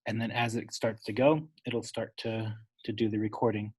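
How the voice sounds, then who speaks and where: A man giving an unscripted, instructional step-by-step software tutorial, talking wordily and correcting himself as he goes. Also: fairly low pitch, little pitch movement, normal speed, husky. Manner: instructional, wordy, with self-corrections.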